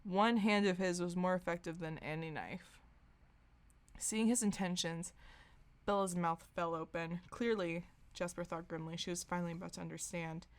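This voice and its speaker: Lower-pitched woman reading comic fiction. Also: steady tone, normal speed. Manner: mock-serious, often amused. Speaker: podcast host. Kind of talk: comedic dramatic reading of fan fiction.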